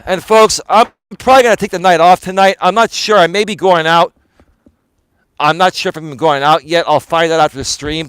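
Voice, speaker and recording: A man's voice: a little high, a bit bright, clear, resonant tone, no echo, rough recording. Tired, emphatic male streamer. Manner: emphatic, rambling, addresses viewers as folks. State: tired, slightly hoarse. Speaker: life coach and live streamer. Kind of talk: casual live stream talk to chat. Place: outdoors, walking at dusk. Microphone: phone camera held while walking.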